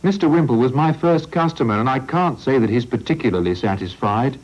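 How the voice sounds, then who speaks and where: A man's voice: medium pitch, expressive, brisk, pitched above the performer's own voice, lightly acted. A man voicing an eager, cheeky young taxi driver, on a lo-fi storybook recording. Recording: lo-fi old recording.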